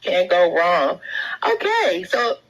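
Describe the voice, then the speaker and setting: A woman's voice: on the low side, takes it slowly, rough recording. Lady hosting a live audio room, easygoing and welcoming, laughs a lot. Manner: relaxed, encouraging, laughs easily. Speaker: host.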